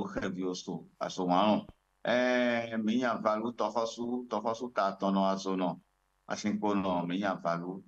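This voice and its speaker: A man's voice: lowish voice, dull audio. A man with a Beninese accent, a traditional spiritual leader giving a ceremonial address as an interview guest. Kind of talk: ceremonial address and ritual invocation.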